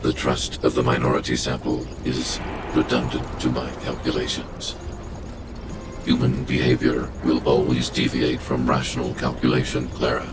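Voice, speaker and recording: Stern game robot, extremely deep, dead flat, formal, calculating, emotionless. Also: male voice, moderate pace, background noise. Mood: cold, detached. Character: stern, coldly logical giant male guardian robot. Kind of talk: video game character acting.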